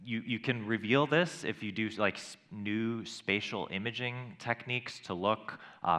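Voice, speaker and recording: Deep-voiced guy explaining microbe research on a panel, bright forceful tone. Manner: explanatory, with many ums and restarts.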